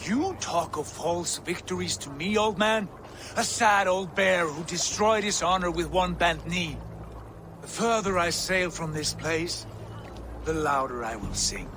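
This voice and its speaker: An actor playing a proud, defiant Viking prince and clan leader in a video game, speaking like an orator. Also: male voice, high voice, lively intonation, unhurried, background noise. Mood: bitter, defiant. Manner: declamatory, oratorical. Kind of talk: dramatic game cutscene acting.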